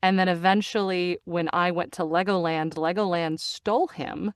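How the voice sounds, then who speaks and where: A woman's voice: lowish voice, moderate pace, clear, resonant tone, no echo, clean studio sound. A middle-aged woman chatting as an interview guest, telling stories with many fillers and restarts. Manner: chatty, animated storyteller with frequent fillers and laughs. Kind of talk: casual interview chat.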